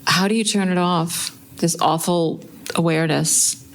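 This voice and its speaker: A woman playing a guilt-ridden, self-blaming married animal lover in a live radio play, speaking earnestly and haltingly. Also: on the low side, fairly even intonation, slight background noise. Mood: bitter self-blame. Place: live on a theatre stage.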